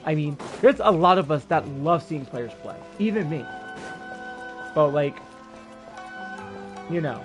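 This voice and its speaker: A man's voice: fairly high pitch, expressive, measured pace, long pauses. A man giving casual live-stream commentary as a game streamer, rambling and informal. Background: game music.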